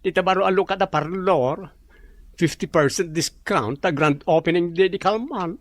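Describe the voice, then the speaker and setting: A woman with an Ilocano Filipino accent playing a flamboyant, gossipy young coworker, with drawn-out campy delivery and English slang, in a radio comedy. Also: lowish voice, no room sound, lightly acted. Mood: sassy, boastful.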